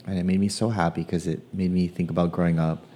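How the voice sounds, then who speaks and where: Man, casual musing, deep mellow voice, soft guitar behind; lots of ums, unhurried. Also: level tone, slight background noise. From Hawaii. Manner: hesitant, many ums and pauses. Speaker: playwright. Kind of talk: casual personal reflection.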